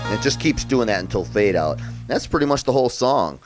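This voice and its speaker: A man from upstate New York giving a relaxed, chatty piano lesson, thinking out loud as he plays by ear. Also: medium pitch, a little fast. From Rochester area, New York, USA. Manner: relaxed and chatty, thinks aloud while playing. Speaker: piano tutor. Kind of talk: casual play-by-ear piano tutorial. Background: loud piano playing.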